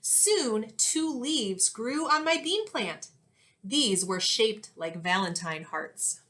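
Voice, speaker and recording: A woman in her thirties, an elementary teacher reading a storybook aloud and giving instructions in a clear, expressive way for young children. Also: on the high side, takes it slowly. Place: small indoor classroom-like room.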